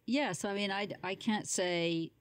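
A woman's voice: medium pitch, a little slow, no room sound. A woman speaking as an interview guest in a casual, reflective conversation.

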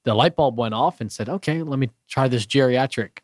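A man from Bulgaria speaking as a radio guest in a studio, earnest and halting with many restarts. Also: mid-range voice, a little mellow, no room sound. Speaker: radio show guest, business owner. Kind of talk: casual radio interview.

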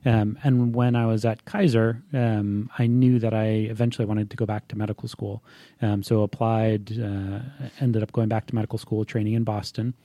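Easygoing low-voiced man from Hawaii. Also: level tone, moderate pace, warm tone, dry recording. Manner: easygoing, lightly joking. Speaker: guest, company founder and physician. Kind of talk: casual interview answers.

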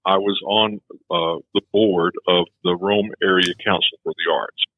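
Low husky-voiced man for a rambling anecdote, phone-quality audio. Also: fairly even intonation, measured pace, dry recording. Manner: rambling, many restarts, chuckles at his own stories. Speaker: former film festival executive director. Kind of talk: casual conversation. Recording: tinny phone-like sound.

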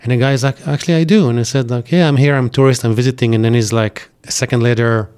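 A man from Israel with an Israeli accent, an IT business owner chatting as a radio guest, lively and animated, often adding "right?" and "like". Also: mid-range voice, fast, clear, resonant tone. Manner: lively storyteller, acts out quoted dialogue, frequent "right?" and "like". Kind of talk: casual interview chat. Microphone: studio microphone.

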